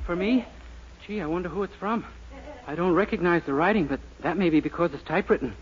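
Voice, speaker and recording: A man's voice: high-pitched, quick pace, close to an everyday voice. An actor playing a naive, guileless and slightly dim young man in a 1940s radio sitcom. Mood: excited. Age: young adult. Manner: earnest and guileless. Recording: muffled, noisy old radio broadcast.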